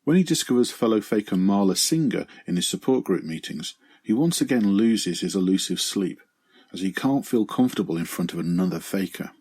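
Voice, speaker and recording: Middle aged guy reading his podcast review piece, lowish husky voice, earnest. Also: expressive, a little fast, a little mellow. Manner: earnest, reflective. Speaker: podcast segment presenter. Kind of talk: scripted review monologue.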